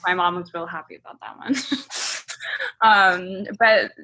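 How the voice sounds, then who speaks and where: A woman in her thirties who grew up in Hong Kong talks through interview answers, pausing often with ums and likes. Her voice is husky with a gravelly edge, yet bright in tone and pitched a little low. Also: low-quality recording. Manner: relaxed, thinks aloud with many ums and likes. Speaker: musician being interviewed.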